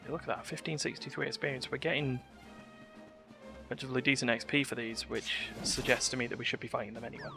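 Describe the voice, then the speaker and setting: A man's voice: mid-range voice, fairly even intonation, fast, creaky. A man streaming a video game with casual commentary, tossing in playful sound words and asides. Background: game music.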